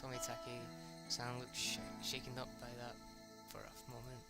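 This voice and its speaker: A man narrates a visual novel in an amateur read-aloud style. He trips over words and goes back over phrases, with music clearly audible underneath. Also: medium pitch, monotone, normal speed. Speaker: reader of a visual novel playthrough.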